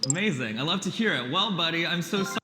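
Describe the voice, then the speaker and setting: High, cheerful male show host. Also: animated, quick pace, crowd noise. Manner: cheerful and encouraging. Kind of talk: upbeat show hosting. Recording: roomy hall reverb with background noise.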